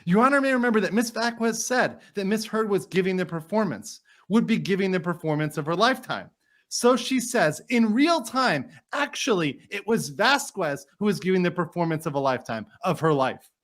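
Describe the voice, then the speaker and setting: Man playing a high-pitched, indignant trial lawyer. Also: expressive, normal speed, fairly bright tone. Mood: insistent. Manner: formal, emphatic, argumentative. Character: indignant, courteous male trial lawyer addressing a judge. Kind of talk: dramatic reading of a court transcript.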